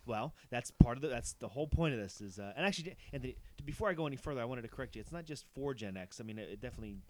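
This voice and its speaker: A man's voice: mid-range voice, expressive, rapid-fire, darkish tone, a little hiss or hum. A man of about forty, co-hosting a podcast, who rambles in a casual chat with frequent restarts and laughs between thoughts. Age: 40s. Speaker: podcast co-host.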